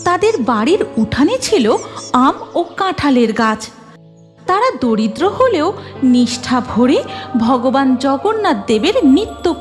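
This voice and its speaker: A woman reading scripted narration for a Bengali folk tale cartoon. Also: high-pitched.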